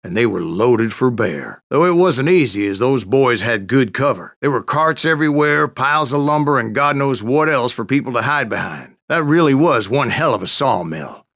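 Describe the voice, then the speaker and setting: An actor playing an old Wild West bounty hunter who tells boastful tall tales in a folksy American Western drawl for a video game. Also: male voice, mid-range voice, animated, moderate pace, hardly any pauses, a bit bright, no echo, clean studio sound. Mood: wry storytelling. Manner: folksy, salty, cussing storyteller. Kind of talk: theatrical storytelling narration for a western video game.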